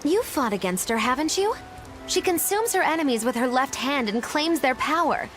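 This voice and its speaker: Uma atriz interpretando uma bruxa excêntrica e teatral, de tom zombeteiro. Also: female voice, high-pitched, sing-song, moderate pace, a bit bright, soft background music. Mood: teasing. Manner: theatrical, mocking. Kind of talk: game dub acting.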